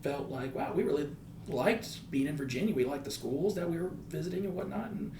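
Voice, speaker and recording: A man's voice: fairly high pitch, animated, brisk, gravelly, mellow. An American man in his thirties talking thoughtfully in a podcast interview as a school principal, often rephrasing as he goes. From United States. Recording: echoey room with faint background noise.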